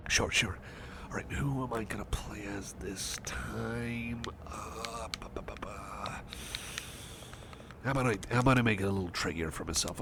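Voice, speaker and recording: A shy male cyborg friend acted by a man keeps you company in an ASMR roleplay. His low voice swings in a lively way as he stammers, repeats himself and seeks reassurance. Faint noise sits behind him. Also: gravelly, full character voice. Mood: soft, hesitant. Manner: hesitant, stammering, repeats phrases, checks on listener. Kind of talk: ASMR roleplay, personal attention.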